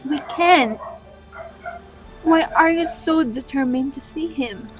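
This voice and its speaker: A woman playing a reassuring queen and wife in a readers' theatre Greek tragedy, reciting her lines deliberately. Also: high-pitched, faint music behind. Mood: concerned.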